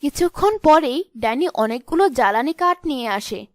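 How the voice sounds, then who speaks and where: Female storyteller, high pitch, dry sound, scripted fairy tale narration. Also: animated, moderate pace, no room sound.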